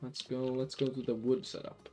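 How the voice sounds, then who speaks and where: A man's voice: medium pitch, flat delivery, moderate pace, mellow, soft background music. A man from Finland with a light Finnish accent, chatting casually while playing a game on a live stream, rambling with many restarts. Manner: rambling, lots of restarts and fillers.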